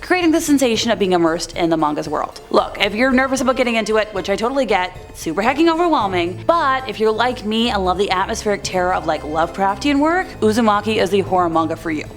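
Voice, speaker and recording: A woman's voice: medium pitch, lively intonation, fast, hardly any pauses. A woman narrating a scripted countdown as host, chatty and informal, with slangy asides straight to the viewers. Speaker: host of a horror countdown video. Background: background music.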